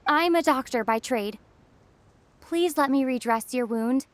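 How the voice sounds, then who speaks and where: A caring young lady doctor in an anime-style game. She has a high, polite, gentle voice, recorded dry and close. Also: a little slow, dry recording.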